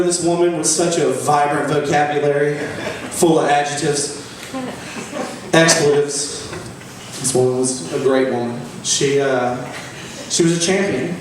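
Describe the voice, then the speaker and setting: A man's voice: on the high side, measured pace. A man, telling profane, boastful stand-up stories in a mock-epic way. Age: 20s. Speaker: stand-up comedian. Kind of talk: stand-up storytelling. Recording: echoey room from far off, faint noise.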